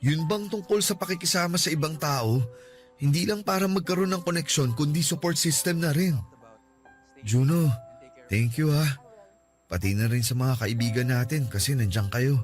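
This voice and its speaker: A man's voice: fairly high pitch, moderate pace, natural voice. A man playing a subdued grieving young man. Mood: sorrowful. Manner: subdued, hesitant, self-deprecating. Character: grieving young man who lost his partner. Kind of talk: radio drama acting. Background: soft music.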